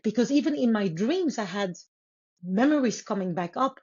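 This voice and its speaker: A woman from Congo whose first language is Lingala, telling her life story as a guest author in an earnest, unhurried way with pauses and restarts. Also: medium pitch, animated, a little mellow, husky. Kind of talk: reflective interview storytelling. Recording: slightly muffled audio.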